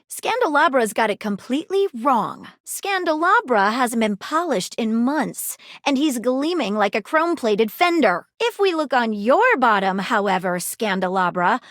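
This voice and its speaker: A woman's voice: on the high side, a bit bright, no echo, clean studio sound. A woman voicing a prim, eager detective in a video game, speaking articulately and theatrically with precise old-fashioned sleuth phrasing. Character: prim, eager, dramatic woman detective, a datable household object. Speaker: voice actor in a dating simulator game. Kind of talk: video game character dialogue.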